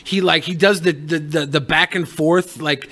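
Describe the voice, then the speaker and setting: A man's voice: fairly high pitch, steady tone, normal speed, a bit bright. A man co-hosting a podcast, giving casual, joking commentary in slangy, sweary language with mock exasperation. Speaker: podcast co-host who raps.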